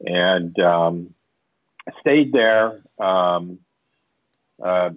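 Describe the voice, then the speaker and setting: A man's voice: low voice, drawn-out words, no room sound. A man in his fifties from Southern California, a tech executive answering interview questions, rambling with many fillers. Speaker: guest, tech company executive. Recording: tinny phone-line sound.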